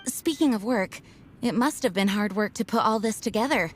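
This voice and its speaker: A woman voicing a dutiful young knight commander who speaks in a formal, measured, sincere way. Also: medium pitch, a little hiss or hum, natural voice. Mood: earnest. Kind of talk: video game voice acting.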